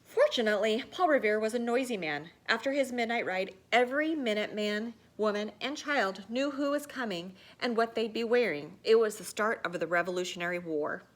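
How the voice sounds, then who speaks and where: A woman reading a children's picture book aloud like a teacher, with expressive, theatrical storytelling. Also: mid-range voice, fairly quick, few pauses.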